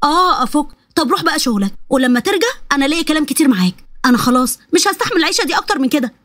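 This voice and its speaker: A woman's voice: sits high, lively intonation, racing, a bit bright, dry recording. A woman voicing an anxious, exasperated young pregnant wife with an Egyptian accent, emotional and complaining. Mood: fed up. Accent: Egyptian Arabic.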